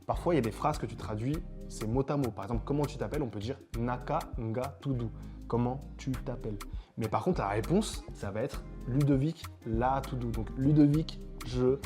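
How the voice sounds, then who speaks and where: A French man hosting a casual chat, explaining in a relaxed way and often adding "you see". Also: medium pitch, normal speed, over music. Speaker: host, Wolof teacher.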